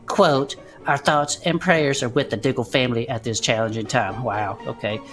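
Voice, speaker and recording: An actor playing an excitable, folksy amateur investigator in an audio drama, talking in a colloquial, theatrical way. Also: mid-range voice, moderate pace, music in the background, natural voice. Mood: reading aloud. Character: Bud, excitable folksy podcast sleuth, hobby investigator.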